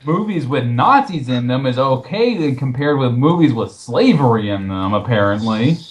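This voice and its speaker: A man's voice: medium pitch, lively intonation, moderate pace. A man hosting casual movie commentary in a sarcastic, incredulous manner. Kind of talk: casual commentary with read-out quotes.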